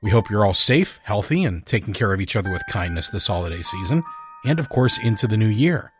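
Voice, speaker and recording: A man's voice: fairly low pitch, expressive, fairly quick. A man hosting a fiction podcast, reading a scripted show introduction. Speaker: podcast host and writer. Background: music.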